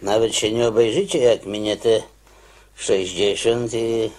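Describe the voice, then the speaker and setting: A man acting a glum, grumbling prison warder in a Polish radio play, colloquial and halting. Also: lowish voice, fairly even intonation, darkish tone, close to an everyday voice. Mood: glum, uneasy. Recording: faintly noisy radio play recording.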